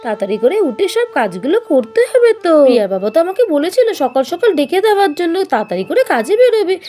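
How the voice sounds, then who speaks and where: A woman's voice: sits high, big pitch swings, fast talker, warm tone, soft background music. A woman voicing a busy young village housewife in a children's doll cartoon, chattering to herself in a homely way about chores.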